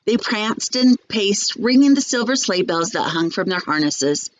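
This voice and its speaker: Brief: female storybook reader, mid-pitched, expressive and measured, teacherly delivery for children. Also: rough recording. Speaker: teacher reading a picture book aloud. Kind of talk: storybook read-aloud.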